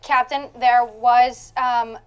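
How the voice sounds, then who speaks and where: High-voiced woman, insistent game player. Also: level tone, slow pace, clean tone. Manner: insistent, repeats phrases. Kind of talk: casual in-character game chat.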